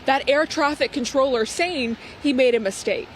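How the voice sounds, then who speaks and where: A woman's voice: high-pitched, normal speed. A woman news correspondent delivering a live report from outdoors in an urban setting. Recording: outdoor urban ambience, traffic noise.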